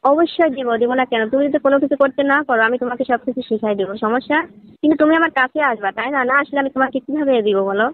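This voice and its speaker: A woman's voice: sits high, very fast, no echo, lightly acted. A woman playing a flirtatious, lonely married sister-in-law in a staged phone-call drama, coaxing and teasing as she talks. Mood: persuasive, flirtatious. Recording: tinny narrowband phone line.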